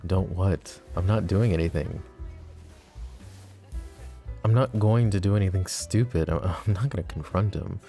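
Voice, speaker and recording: A man playing a suave, possessive mafia boss in an intimate ASMR roleplay, with a smooth, teasing, mock-polite manner. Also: low voice, unhurried, long pauses, dark tone, faint music behind.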